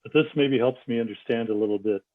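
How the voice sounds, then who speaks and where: A man's voice: mid-range voice, fast. A man giving a casual, thoughtful comment as an audience member at a book discussion. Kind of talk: casual, thoughtful comment with a read-aloud passage. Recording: thin phone-like call audio.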